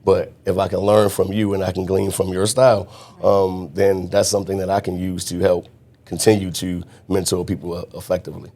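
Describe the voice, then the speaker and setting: Deep, flat-voiced man speaking earnestly. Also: brisk. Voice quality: loud voice. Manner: earnest, reflective, restarts often. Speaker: guest mentor. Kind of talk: conversational interview.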